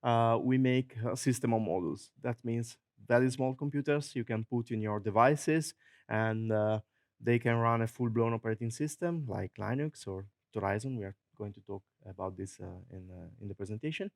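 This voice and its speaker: A man with an Italian accent giving a technical conference talk, joking often, chuckling at his own jokes and filling pauses with many uhs. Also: mid-range voice, a little mellow. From Italy. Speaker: conference presenter. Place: conference hall.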